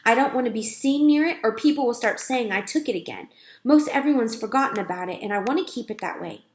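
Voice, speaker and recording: Thoughtful boy camper, earnest and reasoning, voiced by a woman, a little higher than her narration, echoey room. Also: animated, fast talker, close to an everyday voice. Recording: roomy echo.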